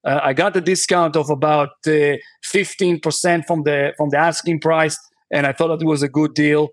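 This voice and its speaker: A man's voice: fairly high pitch, flat delivery, normal speed, no echo. A man from Israel, a guest on a podcast, answering questions in a conversational way, with many fillers and restarts. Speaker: podcast guest, real estate investor.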